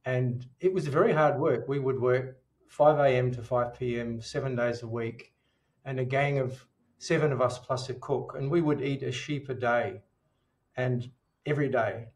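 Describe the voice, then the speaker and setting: A middle-aged man with a New Zealand accent, speaking as an interview guest in a measured, reflective way. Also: mid-range voice, fairly even intonation. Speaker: podcast guest, dietitian. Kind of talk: interview storytelling.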